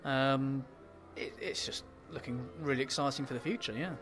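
A man with an English accent, an author answering interview questions in a halting way, full of ums and repeated words. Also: medium pitch, a bit bright, soft background music.

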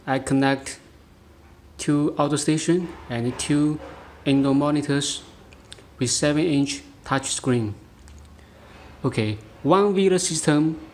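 A man demonstrating a product hands-on, speaking in short, halting phrases, recorded indoors on a handheld device. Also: fairly high pitch, slight background noise. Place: indoor room, beside a tabletop.

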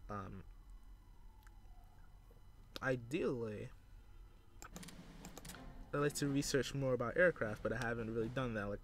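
A man's voice: mid-range voice, unhurried, long pauses, slight background noise. A man giving casual let's play commentary, rambling and thinking aloud with casual swearing. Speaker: gamer recording a let's play. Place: indoors at a computer.

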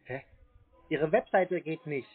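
Terse, slightly high-voiced man on phone. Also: slow pace, slightly rough. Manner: terse, obstinate, playing clueless. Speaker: caller baiting phone scammers. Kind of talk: phone call, short obstinate replies. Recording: muffled phone line.

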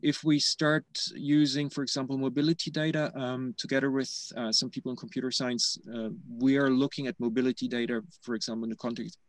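A man's voice: mid-range voice, level tone, fairly quick, few pauses, no room sound. A man in his forties giving a thoughtful academic answer on a video call, with many "you know, like" fillers. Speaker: panel speaker.

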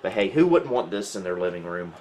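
A man's voice: low-pitched, animated, brisk, a little mellow, a little hiss or hum. A man talking casually and wryly as he thinks aloud through tech troubleshooting, hosting a home video indoors. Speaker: host of a retro computing video. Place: indoors, small home room.

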